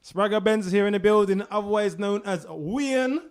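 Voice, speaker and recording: A man's voice: sits high, normal speed, clear, resonant tone. A young man hosting a radio interview, asking long rambling questions full of fillers like "um" and "like". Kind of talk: casual interview.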